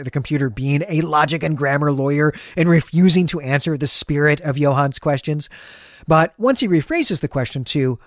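Male podcast host, animated storyteller, conversational recap, dry close sound. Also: on the high side, dry recording.

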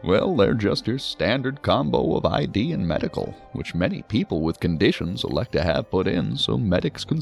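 An actor playing a talkative, learned psychiatrist who muses and explains at length in a science fiction audio drama. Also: male voice, fairly low pitch, creaky, full character voice. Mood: mildly surprised. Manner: wordy, musing, explanatory. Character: male psychiatrist, talkative, learned, genially hedging.

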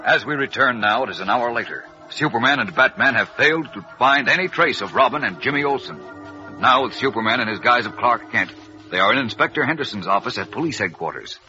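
Dramatic American radio narrator over music. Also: male voice, mid-range voice, lively intonation, a little fast. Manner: suspenseful, emphatic. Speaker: serial narrator. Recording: muffled old radio recording.

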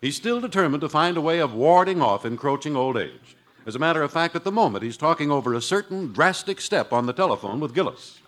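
Bright, hearty American old-time radio announcer. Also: male voice, fairly high pitch, lively intonation, fast talker. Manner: hearty, polished sales delivery. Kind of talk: 1940s radio advertising and announcing. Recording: old radio broadcast recording.

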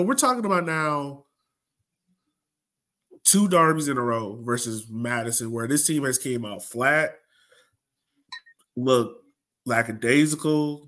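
Male podcast host, mid-pitched, casual and exasperated sports talk full of rhetorical questions. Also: fairly bright tone.

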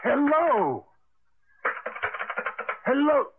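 An actor playing a calm, practical middle-aged father in a radio drama, measured and down-to-earth. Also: very high-pitched, heavily acted. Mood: puzzled. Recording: faintly noisy, muffled vintage radio broadcast.

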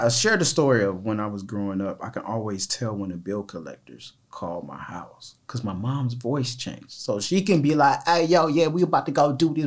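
A man co-hosting a podcast, telling stories in slangy casual talk and acting out voices. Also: on the high side. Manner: animated storyteller, slangy, acts out voices. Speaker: podcast co-host.

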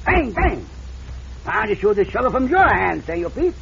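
Radio western sidekick, Mexican accent, simple-hearted and excitable, very animated creaky delivery, dull hissy vintage recording. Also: male voice, sits high, normal speed, heavily acted. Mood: boastful. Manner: broken English, calls himself by name, boastful. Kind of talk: old-time radio western acting.

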